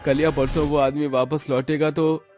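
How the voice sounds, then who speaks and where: A man's voice: a little high, flat delivery, normal speed, a little mellow, soft background music, pitched above the performer's own voice, lightly acted. A man acts out a poor but devout husband from a moral tale. His raised voice is earnest, pleading and humble.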